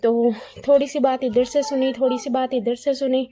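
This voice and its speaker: A woman talks like a video commentator going over gossip. Her voice sits a little high but has a mellow, relaxed tone. She is conversational and opinionated, often repeating phrases to press her point. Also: moderate pace. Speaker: YouTube commentator. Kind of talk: casual gossip commentary, retelling events.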